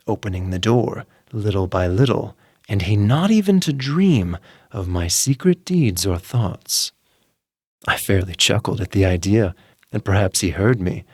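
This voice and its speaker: A man reads a tale in a slow, intense, confiding voice, his low-set pitch swinging widely between hushed lines and sudden rises. The sound is close and dry, with no room around it. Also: no room sound. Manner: intense, confiding first-person telling with hushed drawn-out words. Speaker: narrator. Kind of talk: scripted dramatic reading of a classic tale.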